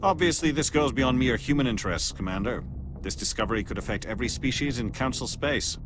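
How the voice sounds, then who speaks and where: An actor playing a composed, watchful alien agent in a video game, speaking in a measured, formal, probing way. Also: male voice, mid-range voice, animated, background noise, natural voice. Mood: grave, measured. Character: composed, watchful alien Spectre agent, a male outsider evaluating humans. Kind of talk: video game cutscene acting.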